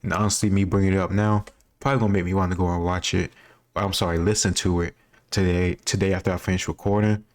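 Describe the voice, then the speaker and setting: A man's voice: deep voice, level tone, fast. An American man chatting casually as he gives rambling, opinionated reaction commentary and often corrects himself. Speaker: host reacting to a history quiz video.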